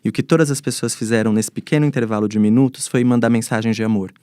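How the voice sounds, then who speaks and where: A man's voice: medium pitch, quick pace, dark tone, clean tone, no echo, clean studio sound. A Brazilian man giving reflective, articulate explanations as an expert guest in a panel interview, building his argument step by step.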